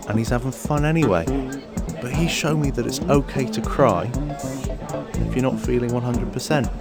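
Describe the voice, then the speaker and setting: A man playing a casual, supportive bloke who is loyally proud of his friend, in an animated short. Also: mid-range voice, natural voice.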